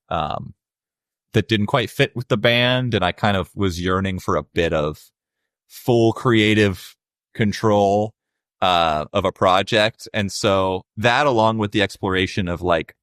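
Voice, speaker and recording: A young adult man with a low voice, a musician guest talking casually and reflectively in an interview, with many fillers. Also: fairly bright tone, no echo, studio quality.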